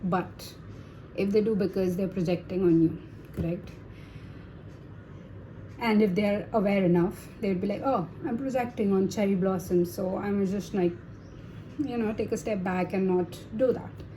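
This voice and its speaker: Woman, tarot reader, native Hindi speaker; casual, reassuring chat, mid-pitched mellow tone. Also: a little slow, long pauses, slight background noise, low-quality recording. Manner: chatty, reassuring, many fillers and tag questions. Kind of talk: casual tarot reading to viewers.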